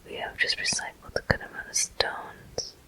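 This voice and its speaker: A person whispering soft, breathy, unhurried commentary in short phrases while playing a video game for ASMR viewers. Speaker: video game player and host.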